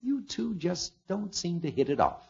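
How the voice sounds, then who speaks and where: A man's voice: sits high, very animated, moderate pace, vocal fry, darkish tone, breathy edge, heavily acted. An actor playing a mild, absent-minded old grandfather in a radio comedy, speaking in a vague, bluntly matter-of-fact way. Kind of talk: 1940s-50s radio comedy acting. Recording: muffled, lo-fi old radio recording.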